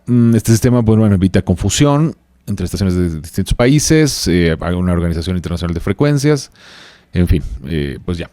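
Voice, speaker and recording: A Mexican man co-hosting a podcast, talking informally and haltingly as he shares facts and checks for agreement. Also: deep voice, animated, dark tone, no room sound. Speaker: podcast co-host. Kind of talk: casual chat, loosely reading out researched facts.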